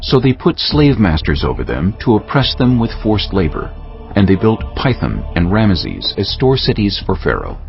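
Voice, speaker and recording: A man's voice: on the low side, wildly swooping pitch, normal speed. A man giving a scripted, dramatic narration of scripture as the narrator. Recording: muffled, clear music bed behind. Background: musical score.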